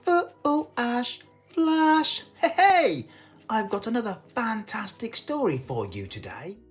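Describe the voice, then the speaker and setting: A woman playfully and excitedly greeting young viewers as a children's storytime host. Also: fairly high pitch, slow. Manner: playful, exclamatory. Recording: music playing behind the voice.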